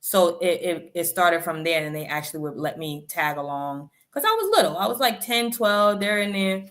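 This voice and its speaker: A woman in her thirties, a rapper guest, telling stories in a chatty, animated way in an interview. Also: on the low side, normal speed. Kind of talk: interview storytelling.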